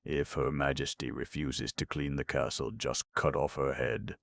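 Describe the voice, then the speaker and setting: A man's voice: a bass voice, flat delivery, normal speed, creaky, no room sound, studio quality. A man voicing a pompous, fussy old male advisor who reads out lists severely and complacently. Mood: sly. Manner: severe, complacent, voice rising per item.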